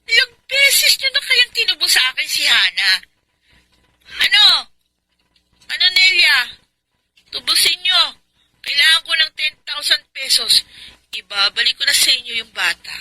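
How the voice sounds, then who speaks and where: Bright, sharp-voiced callous mother. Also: high-pitched, big pitch swings, normal speed, long pauses, slight background noise, heavily acted, rough recording. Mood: brazen, demanding. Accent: Filipino. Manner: mocking, flippant. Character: callous, taunting mother extorting ransom for her own child. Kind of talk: Filipino radio drama acting.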